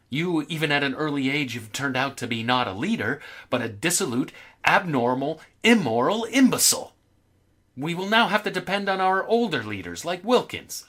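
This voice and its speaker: A man's voice: medium pitch, a bit bright. A man voicing an anonymous, self-righteous letter writer, reading threats in an accusatory, contemptuous and emphatic way. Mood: rising outrage.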